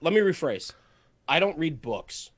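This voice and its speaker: Man talking earnestly, slightly high-pitched voice. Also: very animated, unhurried, no echo. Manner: earnest, emphatic, repeats phrases for stress. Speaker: podcast guest, fire service media host. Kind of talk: casual podcast interview, passionate monologue.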